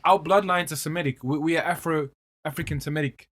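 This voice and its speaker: A man from Ethiopia hosting a casual podcast chat, explaining in halting stretches with many restarts. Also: a little high, fairly even intonation, a bit bright. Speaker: podcast host.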